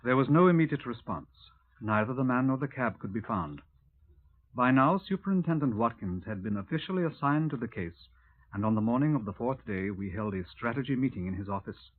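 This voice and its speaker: Methodical British Scotland Yard detective, male actor: husky, formal and measured, heard on a narrow, aged radio-drama recording. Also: mid-range voice, animated, close to an everyday voice. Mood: formal, factual. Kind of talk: 1950s radio drama acting and narration. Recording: tinny, narrow-band vintage radio recording.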